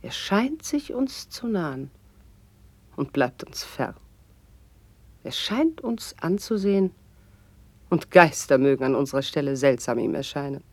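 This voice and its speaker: A woman's voice: sits low, expressive, a little slow, long pauses, darkish tone, no room sound, full character voice. An actress playing a graceful, witty noblewoman and confidante, declaiming formal verse lyrically in a classical radio drama. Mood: lyrical, admiring.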